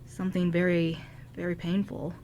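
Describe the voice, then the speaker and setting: A woman's voice: fairly low pitch, completely flat delivery, warm tone, slight background noise, close to an everyday voice. A woman playing an anxious, confused young man in an amateur radio drama, stammering and pleading. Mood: lost, anguished. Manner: stammering, halting.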